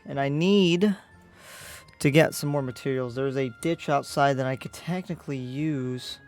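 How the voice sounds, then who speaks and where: A man's voice: a little high, natural voice. A man playing an easygoing young demon slayer in an improvised anime game roleplay, talking casually and hesitantly.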